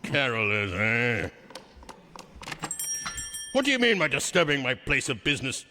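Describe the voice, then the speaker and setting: A man's voice: medium pitch, wildly swooping pitch, measured pace, sharp tone, exaggerated voice. An actor playing a grumpy, miserly old businessman in a radio drama, scolding curtly and pompously. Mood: irritated, scornful. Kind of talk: vintage-style radio drama acting. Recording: reverberant hall, faint noise.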